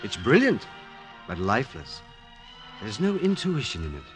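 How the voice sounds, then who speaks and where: A man's voice: on the low side, wildly swooping pitch, moderate pace, faint music behind. An actor playing an amorous, pushy male psychiatrist, theatrical and insistent, in a 1940s radio drama. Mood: critical, pressing.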